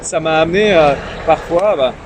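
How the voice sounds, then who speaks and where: A shy young man from Iran, whose first language is Farsi, talks through a relaxed interview, stumbling over fillers and repeated words. His voice sits a little high. Loud city traffic and street noise run underneath. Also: moderate pace. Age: young adult. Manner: timid. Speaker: interview guest, young politician. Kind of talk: casual interview conversation. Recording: noisy street and traffic ambience.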